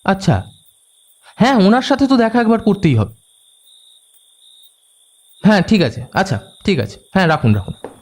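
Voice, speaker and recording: A man playing a brisk, thoughtful detective in an audio story, speaking in a clipped, conversational way. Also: high-pitched, animated, darkish tone. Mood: curious, surprised. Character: brisk, thoughtful male detective, commanding yet casual. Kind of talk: Bengali audio story acting.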